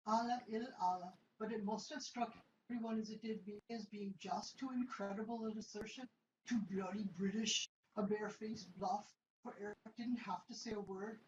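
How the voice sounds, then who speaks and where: A woman narrating a science-fiction story in an amateur radio play reading, with a steady storytelling delivery. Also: medium pitch, normal speed, echoey room, lo-fi sound, a little hiss or hum.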